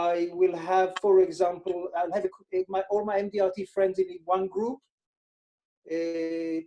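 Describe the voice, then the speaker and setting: A man's voice: high voice, mellow, rough recording. A man from Israel with an Israeli accent, a financial advisor explaining his methods in a casual interview and repeating words for emphasis.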